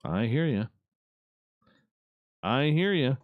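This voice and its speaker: A man's voice: mid-range voice, very animated, slow, dry recording, narrow, tinny audio. A man hosting a late-night radio talk show, chatting casually and laid-back, often tacking "man" onto his sentences.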